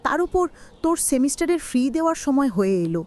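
A woman's voice: high voice, very animated, quick pace, a little mellow. A woman playing a worried, loving middle-aged mother in a cartoon drama, speaking in an emotional, plaintive way. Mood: anxious, sorrowful.

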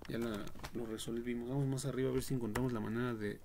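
A man's voice: medium pitch, flat delivery, fast, darkish tone. A young man with a Mexican accent, giving profane, slangy, reactive live commentary on a horror game. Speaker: game streamer. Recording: noisy home livestream microphone.

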